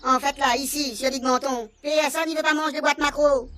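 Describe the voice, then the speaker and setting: A woman's voice: sits high, flat delivery, a little fast, pushed and bright. A woman playing an earnest, pleading little alien with childlike broken grammar in a comic radio serial.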